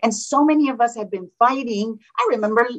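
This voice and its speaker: A woman with a slight Colombian Spanish accent, a state senator speaking in an interview in a combative, animated way. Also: fairly high pitch, fairly quick. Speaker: state senator, political guest. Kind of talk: conversational interview. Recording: dull lo-fi sound.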